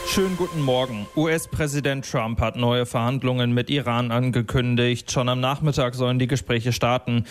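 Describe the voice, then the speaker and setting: Man, radio newsreader, average pitch, scripted news reading, studio. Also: steady tone, moderate pace, few pauses, over music.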